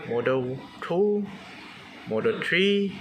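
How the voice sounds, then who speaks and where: Malaysian man, casual product demonstrator, very slow and sparse delivery in clipped phrases, clear background noise. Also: a little high, animated. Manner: clipped fragments, terse step-by-step. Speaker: car audio installer demonstrating a product.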